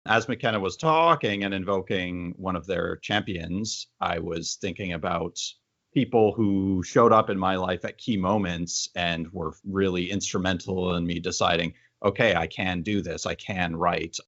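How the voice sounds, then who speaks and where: A man's voice: low voice, unhurried, a bit bright. A man speaking reflectively as he puts questions to guests in an interview. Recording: dull lo-fi audio.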